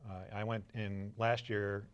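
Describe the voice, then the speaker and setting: A man with a somewhat low voice talks about barbershop singing. His manner is friendly and unhurried. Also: flat delivery. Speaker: barbershop singer. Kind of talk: casual interview answers.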